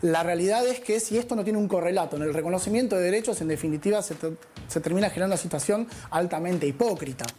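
Interviewee: articulate Argentine woman, fast, dark low voice. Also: soft background music. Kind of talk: articulate spoken commentary. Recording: faint background noise.

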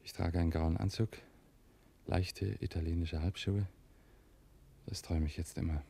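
A wistful war veteran turned clerk in a radio drama. His voice is very low, his delivery plain and resigned, that of someone worn down. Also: steady tone, normal speed, vocal fry, warm tone, husky, heavily acted. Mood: wistful, weary.

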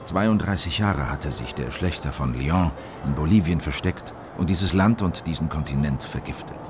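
An actor playing a grave, indignant male government insider who narrates events in a scripted radio play. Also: a bass voice. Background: prominent music.

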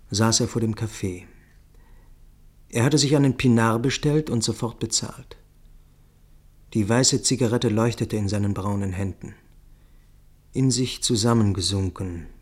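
A man with a fairly low voice narrates a radio drama in the first person. His reading is scripted and literary. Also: slightly rough.

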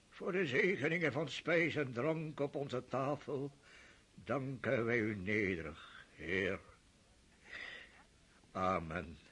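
A bitter old nobleman, head of the house, who speaks with slow, heavy scorn. His bright, tense voice has a husky grain, and he drags each word out like a man who expects to be obeyed. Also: on the low side, heavily acted. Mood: solemn. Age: older. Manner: scornful, mocking, saying grace slowly. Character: bitter old nobleman father, sarcastic and domineering. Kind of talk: radio drama acting.

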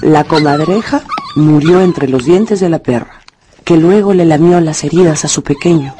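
A woman's voice: sits low, normal speed, noisy background. A woman narrating a dramatised story for radio from a script. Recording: music under the voice.

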